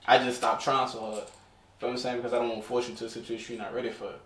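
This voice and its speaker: A man in his early twenties chatting casually as a podcast co-host, telling things in a slangy, animated way. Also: medium pitch, rapid-fire. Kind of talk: casual group chat. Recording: echoey room, distant mic, faint noise.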